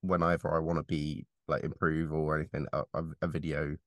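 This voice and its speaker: Low-voiced man hosting a podcast. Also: little pitch movement, brisk, dry recording, clean studio sound. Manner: hesitant, many fillers and restarts. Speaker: podcast host, driving instructor trainer. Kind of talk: casual interview chat.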